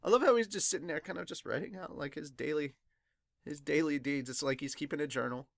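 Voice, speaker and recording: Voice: mid-range voice, quick pace, gravelly, no echo. A podcast host reading a manga chapter aloud, announcing captions dramatically and adding casual reactions.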